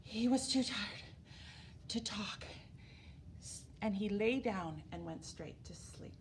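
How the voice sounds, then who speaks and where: A woman reading a picture book aloud to young children as a storyteller, animated and emphatic, drawing words out for drama. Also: mid-range voice, slow pace, a little hiss or hum. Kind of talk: expressive children's read-aloud.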